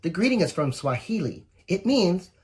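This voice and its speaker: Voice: medium pitch, very animated, moderate pace, a little mellow. A volunteer reading a children's picture book aloud expressively, with asides that speak straight to young listeners. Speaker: volunteer storybook reader.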